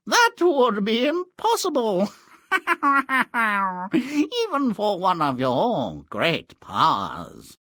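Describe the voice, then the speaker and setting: Casting: scheming storybook cat for a game, bright and drawn-out, silky flattery turning bossy. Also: male voice, high-pitched, no room sound, studio quality, heavily acted. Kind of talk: video game fairy-tale voice acting.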